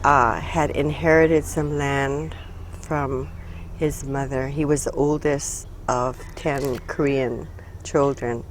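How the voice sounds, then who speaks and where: An older woman from Hawaii speaks in a low, unhurried voice. She talks haltingly, with many pauses and fillers, as an interview guest recalling her past. Faint noise hums behind her. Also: steady tone. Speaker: interview guest, retired social worker. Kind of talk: casual reminiscing conversation.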